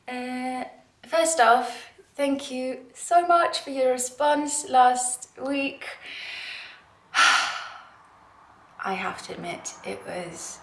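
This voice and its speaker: A young woman from Norway whose English carries a British-tinged accent, chatting and giggling to the camera. Her voice sits in a middle pitch and comes with a roomy echo from a dim living room. There is a faint hum of ambience behind her. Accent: Norwegian with British English. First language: Norwegian. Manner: giggly, chatty, self-deprecating. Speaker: knitwear designer vlogger. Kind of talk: casual chat to camera.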